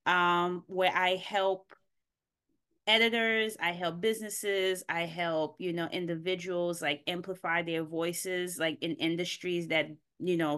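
Female guest raised in Haiti, smooth lower voice, pauses to think mid-sentence. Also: pushed and bright. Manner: thoughtful, searching, many ums. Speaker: podcast guest. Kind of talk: casual interview answers. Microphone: video call.

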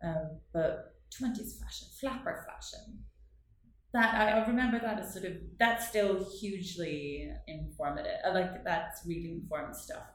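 A woman's voice: lowish voice, normal speed, very echoey, a little hiss or hum, low-quality recording. A woman from northern Norway with a Norwegian accent, a guest in an interview, talking in a rambling, self-correcting way and laughing often. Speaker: artist guest. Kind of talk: casual interview chat.

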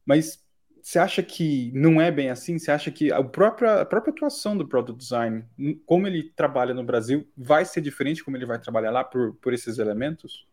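A man's voice: mid-range voice, lively intonation, brisk, darkish tone. A man with a Brazilian Portuguese accent, interviewing casually with slangy, informal questions.

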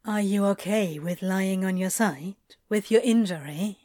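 A teasing, firm male exercise coach in an audio story, acted by a woman. The delivery is unhurried and playful, at a middling pitch for a woman. The sound is very dry, with coaxing authority in each instruction. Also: dark tone, no room sound, close to an everyday voice. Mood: teasing, amused. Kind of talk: acted audio fiction reading.

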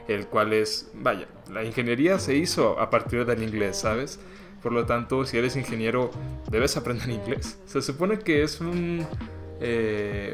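A young man from Mexico, hosting a podcast in a casual, joking way. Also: medium pitch. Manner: chatty and joking, acts out quoted voices. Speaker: podcast host. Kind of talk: casual solo podcast talk. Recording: clear music playing under the voice.

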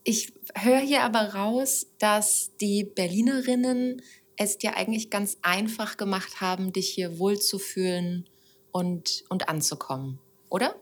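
Podcast host: woman, average pitch, informal conversational interviewer. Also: a little slow, a little hiss or hum. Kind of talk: casual interview chat.

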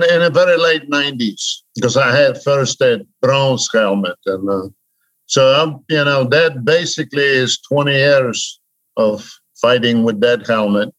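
A man's voice: medium pitch, a bit bright, no echo. A man with a Polish accent telling stories casually as an interview guest, rambling and often saying "you know", over a remote call. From Poland. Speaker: interview guest, diving helmet maker. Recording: dull, lo-fi remote call audio.

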